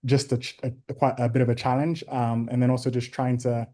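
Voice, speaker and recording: A young man from Ghana, a guest on a video call interview, answering in a measured, thoughtful way with frequent ums. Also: mid-range voice, level tone, dark tone.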